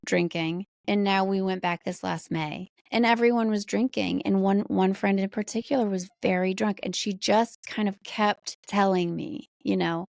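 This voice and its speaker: Gravelly-edged American woman, candid podcast guest. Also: mid-range voice, moderate pace, hardly any pauses, no room sound, studio quality. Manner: animated, candid, uses acted-out quotes. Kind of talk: casual conversational interview.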